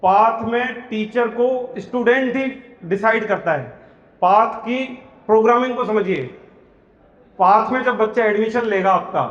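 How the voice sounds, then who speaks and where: A man gives an earnest promotional speech at a public event. His voice sits high and booms around a large hall with a long reverb tail. The PA sound is dull. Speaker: coaching institute founder announcing schemes.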